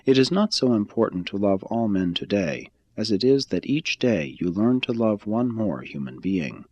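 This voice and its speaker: A man reading a religious text aloud as scripted narration, in a measured, formal and solemn manner with deliberate phrasing. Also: lowish voice, animated, darkish tone, muffled recording.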